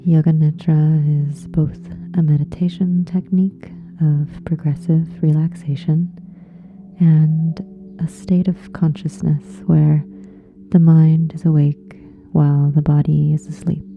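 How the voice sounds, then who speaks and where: A woman leading a guided relaxation meditation in a soft, breathy, hushed and soothing way, leaving long pauses. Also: low voice, narrow, tinny audio. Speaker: yoga nidra meditation guide. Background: soft meditation music with singing bowls.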